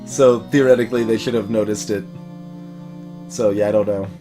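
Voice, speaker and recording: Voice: medium pitch, steady tone, moderate pace. A let's play host giving casual, off-the-cuff commentary. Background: game music.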